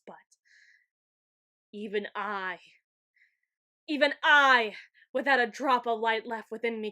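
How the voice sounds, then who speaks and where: A woman acts out a supreme lord of shadows in an ASMR roleplay. The voice is high and bright, with a sharp edge. Every phrase is pompous and flowery, as if from a weakened but grandiose tyrant delivering a melodramatic monologue. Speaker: ASMR roleplay audio performer.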